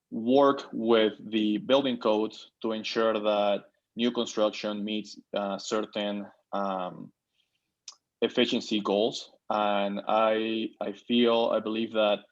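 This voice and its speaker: A man in his thirties, from Peru, speaks in a fairly low voice over a video call. He introduces himself with many pauses, fillers and restarts. The call audio is slightly dull and lo-fi. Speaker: board member. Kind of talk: virtual meeting remarks.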